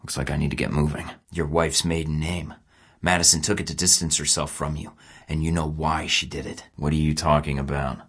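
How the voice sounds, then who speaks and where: A man's voice: extremely low pitch, flat delivery, a little fast, a bit bright, husky, heavily acted. An actor playing a tough, sarcastic male field agent in a video game, speaking in dry, clipped lines. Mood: defiant. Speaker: video game protagonist, a spy.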